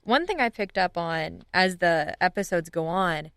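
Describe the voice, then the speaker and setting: A woman's voice: fairly low pitch, lively intonation, a little slow, fairly bright tone, smooth, no echo, clean studio sound. A woman in a casual podcast chat, talking as one of the speakers discussing audio drama.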